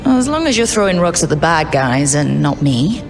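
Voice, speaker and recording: An actor voices a young elf rogue in a fantasy game, with a gravelly edge to the voice. The delivery is wry and sarcastic, full of dry jokes. Faint music sits behind the dialogue. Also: medium pitch, big pitch swings, moderate pace.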